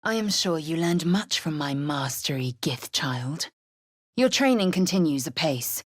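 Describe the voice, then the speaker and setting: Fierce githyanki warrior woman, deep husky voice, unhurried, haughty, martial, imperious, video game character. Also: animated, no echo, studio quality. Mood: haughty approval. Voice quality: husky, raspy, breathy edge. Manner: clipped, imperious, deliberate delivery.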